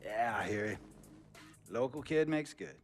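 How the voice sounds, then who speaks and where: An actor playing a wealthy, smooth-talking male tech mogul who tells rambling stories in a game cutscene. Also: medium pitch, lively intonation, a little slow, faint music behind. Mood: chummy. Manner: rambling, theatrical storytelling. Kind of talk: video game cutscene dialogue.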